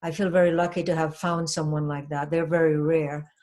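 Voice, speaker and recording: An older woman from Trinidad talks in an earnest, reflective way, her voice sitting fairly low for a woman. She is a hypnotherapist guest in a casual interview and often pauses on soft fillers. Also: level tone. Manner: earnest, reflective, with frequent "you know" and "um".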